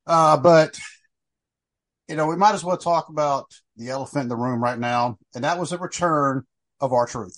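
A man's voice: a little high, moderate pace, a bit bright. A middle-aged man hosting a casual podcast chat, rambling with many fillers and restarts. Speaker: podcast host.

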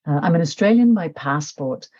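A woman's voice: low voice, lively intonation, normal speed, mellow, dull audio. A middle-aged woman from Nepal, an author talking reflectively in a casual interview, with many fillers and restarts. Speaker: author, podcast guest.

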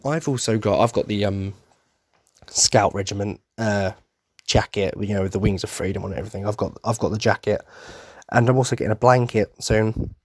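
A man hosting a casual fan podcast, tired and losing his voice, husky, rambling with lots of ums and restarts. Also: lowish voice, steady tone, a little fast, no room sound, studio quality. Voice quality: husky, throat-clearing. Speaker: podcast host.

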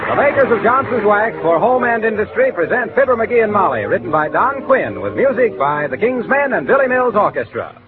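A polished male announcer in the formal 1940s radio manner, fairly high in pitch and very animated. The recording is thin and phone-like. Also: brisk, few pauses, loud music behind the voice.

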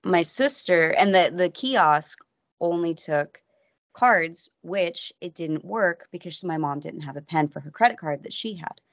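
An American woman, a podcast guest, telling a travel story in a casual, animated way that keeps breaking off and acting out what people said. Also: on the low side, a little slow, no room sound. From United States. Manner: animated, self-interrupting, acts out quotes. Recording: dull lo-fi audio.

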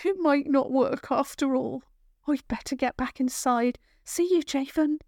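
Storybook village girl, voiced by a woman: high, bright-spirited young voice, brisk, bossy and sure of herself. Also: no room sound, studio quality. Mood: brisk, confident. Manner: brisk, matter-of-fact, bossy.

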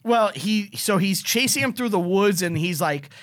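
A man's voice: sits high, lively intonation, moderate pace, fairly bright tone. A man co-hosting a podcast, casually recapping a film with enthusiasm and frequent swearing. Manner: profane, enthusiastic retelling of scenes. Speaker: podcast co-host. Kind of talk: casual movie recap chat.

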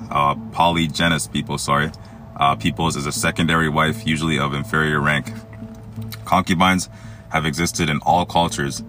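A man from Egypt hosting a solo podcast, reading a text aloud and commenting on it in a halting way with many fillers and restarts. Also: rumbling low, bright, forceful tone. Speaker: podcast host. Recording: music playing underneath.

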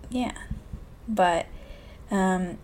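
A woman in her twenties hosting a solo podcast, talking low and hushed in a candid, profane casual chat from a room in a small house. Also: extremely slow, a little hiss or hum, rough recording. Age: 20s. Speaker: podcast host. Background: faint classical music.

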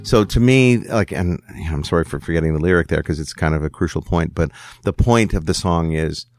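A man's voice: deep voice, brisk, no room sound, studio quality, soft background music. A man in his sixties, a songwriter guest musing candidly in an interview, thinking aloud as he goes. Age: 60s. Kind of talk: reflective interview conversation.

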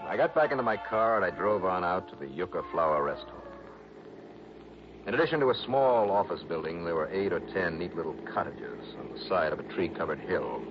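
An actor playing a cool, wry insurance investigator with a dry, measured delivery in a radio detective drama. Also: male voice, deep voice. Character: cool, wry male insurance investigator, narrating his case. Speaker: lead detective and narrator. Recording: noisy, muffled old radio recording.

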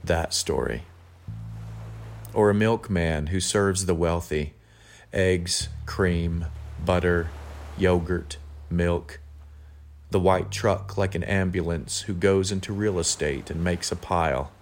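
Very slow, deep-voiced man reciting poetry. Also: slight background noise. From United States. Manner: measured, deliberate phrasing. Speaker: guest poetry reader. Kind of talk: literary poem recitation.

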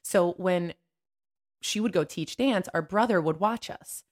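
A woman in her thirties with a somewhat low voice co-hosts a podcast. She chats and tells stories informally. Her way of talking is playful and teasing. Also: unhurried, a little mellow. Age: 30s. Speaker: podcast co-host. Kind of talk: casual chat and storytelling.

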